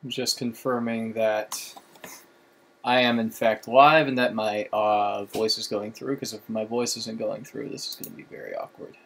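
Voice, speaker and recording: A man's voice: fairly low pitch, flat delivery, a little slow, creaky, fairly bright tone. Rambling man in an echoey room. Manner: rambling, many fillers and self-corrections. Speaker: streamer. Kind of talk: casual explanatory chat. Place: room at home. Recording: echoey room with faint background hum.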